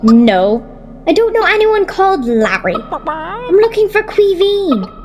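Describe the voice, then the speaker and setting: A brave, anxious little Irish girl in a children's audio drama. Her very high voice is animated and earnest, over music. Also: slow pace, full character voice. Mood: uncertain, anxious. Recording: clear music behind.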